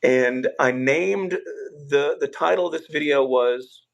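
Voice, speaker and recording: A young man hosting a livestream, telling stories in a relaxed, rambling way with filler words, his voice a bit rough. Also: medium pitch, slow pace, muffled recording. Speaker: livestream host. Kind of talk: casual livestream chat.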